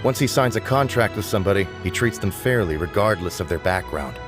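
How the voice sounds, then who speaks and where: A man's voice: on the low side, lively intonation, fairly quick, music in the background, close to an everyday voice. An actor playing a smug, boastful young male informant in a game, who talks in a cocky, teasing way. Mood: reporting. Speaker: game character.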